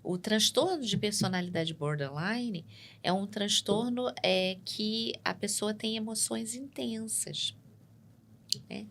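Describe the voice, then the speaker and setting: A woman psychologist, explaining ideas in a measured way on a studio podcast and often checking in with "né?". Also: mid-range voice, gravelly, a bit bright, slight background noise. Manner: measured and explanatory, tags points with "né?". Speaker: psychologist guest. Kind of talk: explanatory interview talk.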